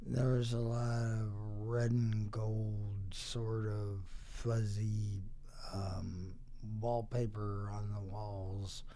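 A middle-aged or older man reminiscing casually as an interview guest, halting and wryly dry in his phrasing. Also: lowish voice, level tone, mellow, a little hiss or hum.

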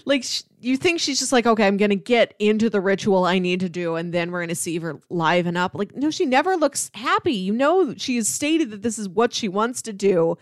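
A woman hosts a casual film discussion in a medium-pitched voice. She tends to act out imagined lines as she explains her points. The sound is close and dry. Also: lively intonation, fairly quick, hardly any pauses, dry recording. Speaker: film discussion podcast host.

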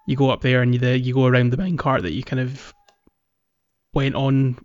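A man hosting a gaming podcast in casual chat, with hesitant, halting phrasing. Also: medium pitch, monotone, darkish tone. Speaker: podcast host. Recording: roomy echo, slightly dull sound.

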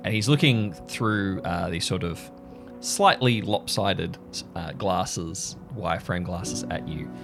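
Low, creaky-voiced male game master. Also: slow, fairly bright tone. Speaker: game master of an improvised audio drama. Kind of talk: improvised scene narration. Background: music under the voice.

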